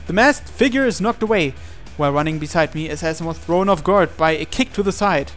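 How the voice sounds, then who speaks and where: A man's voice: on the high side, a little fast, a bit bright. A man reading a visual novel aloud as a let's player, narrating it dramatically over music. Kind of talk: dramatic read-aloud narration of on-screen text. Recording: music behind the voice.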